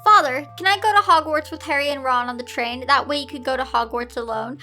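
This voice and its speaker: A woman's voice: high-pitched, fast talker, pushed and bright, soft background music. A woman voicing an earnest, worried schoolgirl with a sarcastic streak in a read-aloud story. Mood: polite, cheeky.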